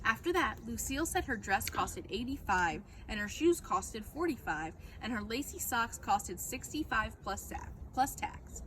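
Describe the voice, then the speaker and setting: A woman reading a children's book aloud as a storyteller, with expressive, dramatic narration. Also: fairly high pitch, a little slow, hardly any pauses, slight background noise.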